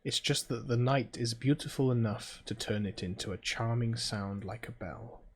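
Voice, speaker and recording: Low-key British man. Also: normal speed, slight background noise. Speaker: gaming video host playing a visual novel. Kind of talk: casual playthrough chat and reading game text aloud.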